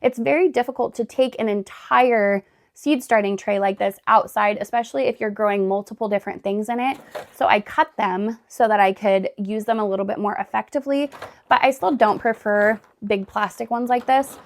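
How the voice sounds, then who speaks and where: A woman vlogging casually at home, still hoarse and raspy after being sick, chatting with lots of "like" and "really" as she sorts gardening supplies. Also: medium pitch, normal speed. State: hoarse from recent illness. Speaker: homestead vlogger. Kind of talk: casual vlog chat. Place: indoors at home, sorting gardening totes.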